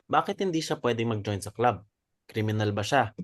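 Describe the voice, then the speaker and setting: A man's voice: on the low side, lively intonation, brisk, close to an everyday voice. A man playing a supportive club member in a dramatic reading, firm and reasoning. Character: supportive, reasoning male club member Rocky defending a widow. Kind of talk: dramatic stage reading in Tagalog.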